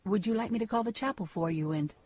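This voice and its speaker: A woman playing a chatty, slyly helpful household acquaintance in a gothic audio drama, brisk and talkative. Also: medium pitch, darkish tone, breathy edge, natural voice. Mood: breezy, knowing.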